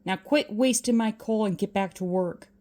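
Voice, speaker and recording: A woman's voice: mid-range voice, normal speed, a little mellow, lightly acted. A woman voicing a grumpy, curt old miserly businessman in a storybook reading. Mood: grumbling. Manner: curt, scolding.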